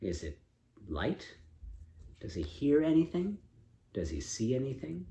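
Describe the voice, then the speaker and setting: A man improvising a story as a storyteller, speaking in a deliberate, inquisitive and encouraging manner. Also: mid-range voice, huge pitch swings, mellow. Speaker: storyteller and co-host with a child. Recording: echoey room, faint background noise.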